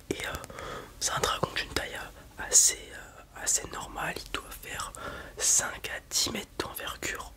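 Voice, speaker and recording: A person whispering softly and breathily, improvising a hesitant, explanatory ASMR roleplay as a dragon breeder. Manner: soft-spoken, hesitant, explanatory.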